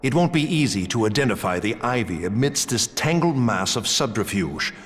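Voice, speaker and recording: An actor playing a proud, gracious old male knight captain in a game cutscene, speaking in a formal, measured way. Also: on the low side, big pitch swings, a bit bright, a little hiss or hum. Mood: earnest. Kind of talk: video game cutscene voice acting.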